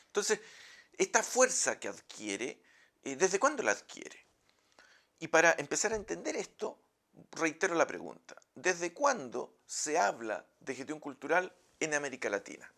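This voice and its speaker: Chilean guy lecturing from his office, gravelly voice, swoops up and down a lot. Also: fairly high pitch, very animated, a little slow, fairly bright tone. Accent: Chilean Spanish. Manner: explanatory, posing questions to the audience. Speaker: journalism professor. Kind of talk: recorded online lecture.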